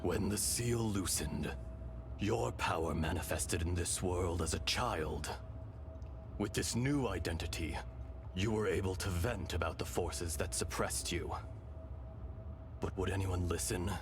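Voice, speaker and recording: An actor playing a calm, wistful ancient dragon spirit in human form for a video game, speaking solemnly and deliberately. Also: male voice, low voice. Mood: grave. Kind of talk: dramatic video game voice acting. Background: game music.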